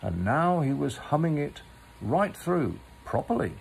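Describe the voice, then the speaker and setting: A man narrates a children's book in a deliberate, theatrical way. His delivery is slow and gentle with a gravelly creak, and there is some noise in the background. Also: on the high side, sing-song. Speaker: storyteller reading a children's book aloud. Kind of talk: expressive storybook narration.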